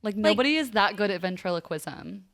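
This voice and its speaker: Casting: woman co-host, medium pitch with strong creak, informal slangy delivery in friendly TV discussion. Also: lively intonation, fairly quick, a bit bright. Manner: informal, slangy, heavy use of "like". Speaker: podcast co-host. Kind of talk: casual TV discussion among friends.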